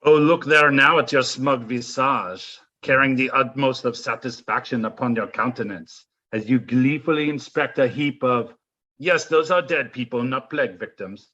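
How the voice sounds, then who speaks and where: Grandiose villainous French duke, raspy and forceful. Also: male voice, medium pitch, lo-fi sound. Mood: taunting, theatrical. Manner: grandiose, mocking, florid delivery with dramatic drawn-out phrases. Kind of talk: theatrical audio play acting.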